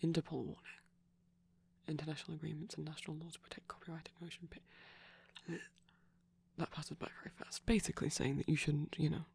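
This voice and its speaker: A young adult performer playing a shy, nerdy boyfriend in a casual, soft-spoken ASMR ramble, enthusiastic but hesitant. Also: mid-range voice, flat delivery, a little fast, vocal fry.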